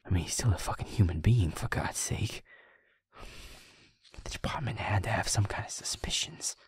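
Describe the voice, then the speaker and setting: A man playing a feisty, shaken young werewolf boyfriend in an intimate audio roleplay, husky and halting, muttering in a half-whisper. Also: on the low side, dry recording. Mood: tense, searching. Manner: halting, muttering, blunt swearing.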